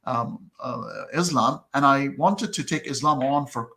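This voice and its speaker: A man whose first language is Arabic, speaking as a guest in a casual conversation, thoughtful and halting with many restarts. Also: medium pitch, pushed and bright.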